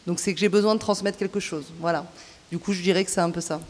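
A woman's voice: fairly low pitch, fairly even intonation, fast. A woman stage director and author, talking in a live festival interview, hesitant, with many fillers and restarts. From southern France. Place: outdoor cloister at a festival. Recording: echoey outdoor cloister, live broadcast.

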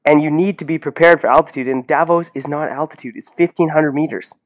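A man's voice: fairly high pitch, fairly even intonation, quick pace. Blunt man ranting casually about sports. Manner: blunt, incredulous, peppered with 'like' and rhetorical questions. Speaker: podcast commentator. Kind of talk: casual opinionated sports talk.

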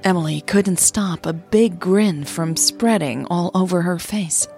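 A native Dutch-speaking woman with a husky voice, narrating a scripted podcast in a measured way. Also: lowish voice, dark tone, faint music behind. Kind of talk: scripted audio story narration.

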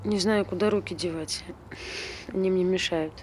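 A woman's voice: fairly low pitch, steady tone, moderate pace, over loud music, close to an everyday voice. An actress playing a grieving, distracted woman who insists all is fine, in short repetitive phrases, in naturalistic stage drama. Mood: restless. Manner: clipped short phrases, repeats herself.

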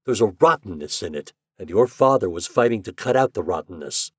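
A man voicing a determined male propagandist writer who talks in a forceful, snapping way. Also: lowish voice, lively intonation, a little fast, breathy edge, no echo, clean studio sound.

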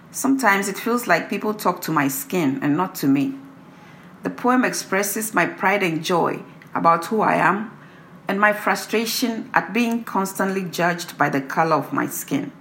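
A woman poet presenting and reciting her own work in a deliberate, emphatic delivery. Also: mid-range voice, bright, forceful tone, a little hiss or hum. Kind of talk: introducing and reciting own poem.

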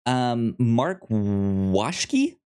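A man hosting a casual review podcast, speaking in a halting way with stammered, repeated word starts. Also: medium pitch, big pitch swings, a little mellow, clear, resonant tone. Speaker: podcast host.

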